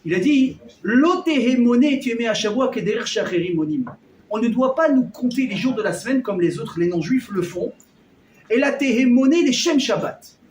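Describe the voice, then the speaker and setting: A man giving a lively Torah class to a live audience, joking and quizzing the listeners as he teaches. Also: very high voice, normal speed, slight background noise. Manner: animated, jokey, quizzes the audience. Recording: echoey room, mic at a distance.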